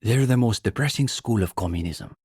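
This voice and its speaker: An earnest young student intellectual for a video game. His voice sits a little low with some huskiness, recorded close and dry. He lectures in a bookish, opinionated way, smugly sure of his doctrine. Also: lively intonation, fairly quick, darkish tone, slightly rough, no room sound, heavily acted. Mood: dryly disdainful. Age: young adult. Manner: intellectual, opinionated, dryly pedantic. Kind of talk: video game dialogue voice acting.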